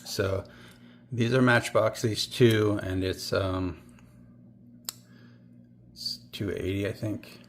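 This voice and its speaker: A man's voice: lowish voice, fairly even intonation, slow, pauses often, a little hiss or hum. A man with a northern US accent, talking through his toy car collection in a rambling, offhand way, often correcting himself mid-sentence. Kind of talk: casual show-and-tell.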